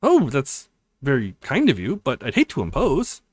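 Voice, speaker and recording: A man voicing a hesitant, touched woman in a visual novel, halting and modest as the character speaks. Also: mid-range voice, huge pitch swings, husky, dry recording, studio quality. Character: hesitant, touched woman politely declining kindness.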